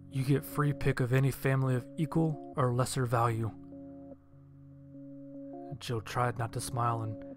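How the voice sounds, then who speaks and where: A man narrating a scripted first-person story and acting out his own lines of dialogue. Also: mid-range voice. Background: background music.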